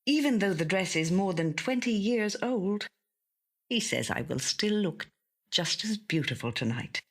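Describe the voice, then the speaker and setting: A woman reading a first-person literary short story in a measured, gentle storytelling manner. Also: mid-range voice, animated. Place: audio studio.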